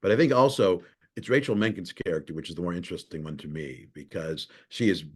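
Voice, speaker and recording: Brief: male professor, lecture delivery, low-ish voice, stumbles and restarts. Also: quick pace, husky. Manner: thoughtful, often repeats and restarts words.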